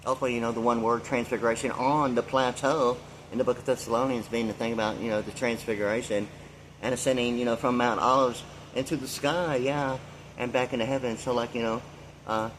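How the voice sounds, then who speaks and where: A man's voice: mid-range voice, fairly even intonation, fairly quick, slight background noise, rough recording. A man in his sixties delivering a meandering, free-associating monologue full of fillers and loose asides. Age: 60s. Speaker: vlogger. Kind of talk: rambling monologue.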